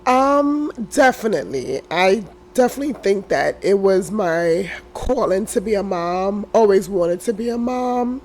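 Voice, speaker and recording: A woman in her thirties, a podcast guest who is hoarse from a cold. She shares her story slowly, with many fillers. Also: medium pitch, lively intonation, a little mellow, a little hiss or hum. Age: 30s. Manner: slow and unhurried, with frequent fillers. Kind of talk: casual interview, telling her story.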